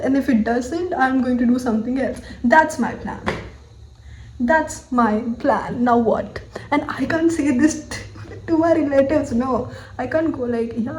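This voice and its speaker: A woman's voice: on the high side, darkish tone, reverberant, slight background noise, poor audio. A woman in her twenties with an Indian English accent, thinking aloud candidly in a personal video journal, often saying "like" and "you know". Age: 20s. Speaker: vlogger.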